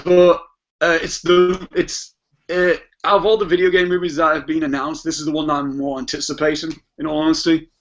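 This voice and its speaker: A man's voice: on the high side, little pitch movement, fairly quick. A man chatting casually about films as a podcast guest, rambling and often rephrasing himself. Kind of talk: casual film discussion. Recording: dull lo-fi audio.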